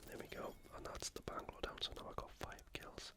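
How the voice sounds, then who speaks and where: Whispering ASMR host, fully breathy. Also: brisk. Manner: casual, conversational. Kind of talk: whispered ASMR commentary on sport and gameplay.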